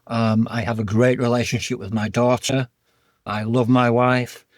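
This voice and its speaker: A man with a broad Yorkshire accent talks in an earnest, heartfelt, plain-spoken way as a podcast guest. His voice sits in a middling pitch range. The sound is dry and close, with no room echo. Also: level tone, normal speed. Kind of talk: candid personal interview.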